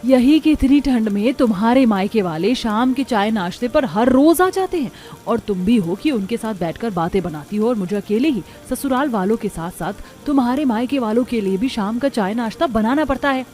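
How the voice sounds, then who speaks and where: A woman's voice: fairly high pitch, expressive, moderate pace, dark tone, soft background music, close to an everyday voice. A woman voicing a resentful, scheming elder daughter-in-law in a cartoon, grumbling her complaints.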